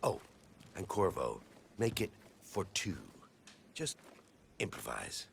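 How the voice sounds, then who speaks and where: An actor playing a smug, scheming secret-society leader in a video game, speaking in grandiose, theatrical speeches. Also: male voice, medium pitch, very animated, measured pace, noisy background. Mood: smug, menacing. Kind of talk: dramatic video game acting.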